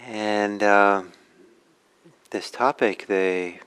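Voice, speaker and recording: Male meditation teacher, lower-pitched voice, reflective and halting delivery with frequent pauses. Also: steady tone, a bit bright, clean tone, low-quality recording. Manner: halting, reflective, pausing often. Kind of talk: guided meditation talk.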